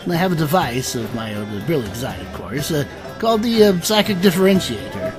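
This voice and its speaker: An actor playing a self-satisfied eccentric inventor in a fantasy audio drama, halting and rambling with constant stammered uhs. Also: male voice, fairly high pitch, sing-song, over music. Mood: digressive, smug.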